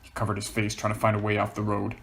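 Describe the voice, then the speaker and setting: A man's voice: on the low side, completely flat delivery, fast, vocal fry, fairly bright tone, slight background noise. A man reading scripted fiction aloud as the narrator.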